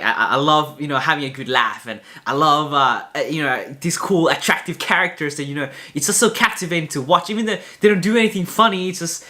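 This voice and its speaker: A young man with a noticeably high, bright voice chats straight to camera in a vlog. He rambles freely, circling back with fillers and restarts, open and candid about himself. The tone stays tense and sharp rather than mellow. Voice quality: high-pitched voice. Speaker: vlogger. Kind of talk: casual talk to camera.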